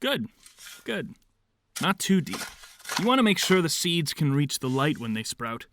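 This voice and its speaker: An actor in an audio drama playing a stern, impatient commune leader with a curt, no-nonsense manner. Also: male voice, fairly high pitch, very animated, unhurried, noisy background. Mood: calm instruction.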